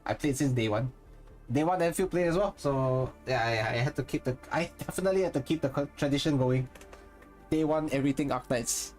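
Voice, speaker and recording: A man's voice: mid-range voice, brisk, darkish tone, faint music behind. Rambling Singaporean man chatting on stream. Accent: Singaporean English. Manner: rambling, thinks aloud and corrects himself mid-sentence. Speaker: streamer and content creator. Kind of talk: casual live-stream chat with viewers.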